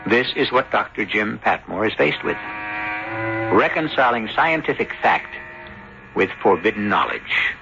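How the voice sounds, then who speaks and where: Deep-ish guy hosting a spooky radio show, deliberate, music behind him, dull old recording. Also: sing-song, gravelly. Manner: ominous, deliberate, rhetorical. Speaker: host. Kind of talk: theatrical scripted radio mystery narration.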